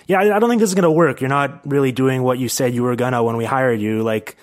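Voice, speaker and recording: A man's voice: mid-range voice, fast talker. A man hosting a casual sports podcast, explaining his points in a rambling, slightly hesitant way. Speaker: podcast host and hockey writer.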